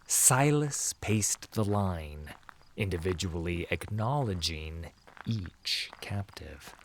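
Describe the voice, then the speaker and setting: Slow, low-voiced dramatic male narrator. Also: lively intonation. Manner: theatrical, measured, emphatic. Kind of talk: dramatic scripted story narration. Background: music.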